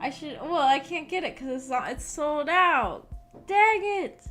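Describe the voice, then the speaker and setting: A woman's voice: high-pitched, unhurried. A young adult woman chatting casually as a livestream host, telling rambling anecdotes in a giggly, filler-heavy way. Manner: giggly, animated storytelling with frequent "like". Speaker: streamer hosting a visual novel book club. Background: background music.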